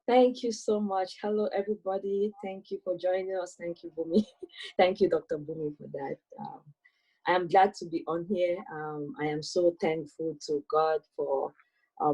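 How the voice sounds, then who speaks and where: Woman in her 30s on a video call, lowish mellow voice, thoughtful, lots of ums. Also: slightly rough, low-quality recording. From Cameroon. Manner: thoughtful, with many fillers and soft laughs. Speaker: guest, electrical engineer. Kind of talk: personal storytelling in an interview.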